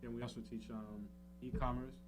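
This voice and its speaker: A man's voice: mid-range voice, level tone, a little fast, poor audio. Casual man in his thirties over guitar music. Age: 30s. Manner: informal, affirming, frequent "you know" and "um". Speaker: interview guest, entrepreneur and financial educator. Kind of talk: casual talk, explaining his services. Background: plucked guitar music.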